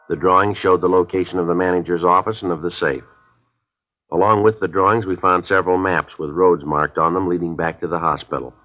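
Very deep, clipped man acting a police detective. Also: close to an everyday voice. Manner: clipped, deadpan, matter-of-fact. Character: terse, businesslike male police detective. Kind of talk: 1950s radio police drama acting. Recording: dull old radio recording.

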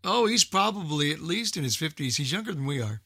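Older American male for casual talk radio, emphatic and repetitive, forceful bright tone, close dry sound. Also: a little high, big pitch swings, brisk, creaky, dry recording. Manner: emphatic, repeats himself for emphasis. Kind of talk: casual group chat.

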